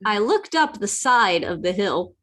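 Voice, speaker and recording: A woman's voice: mid-range voice, very animated, a little slow, a bit bright. A woman playing an earnest, plucky young boy who narrates a sea adventure in a radio play. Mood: tense. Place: indoors, remote online reading.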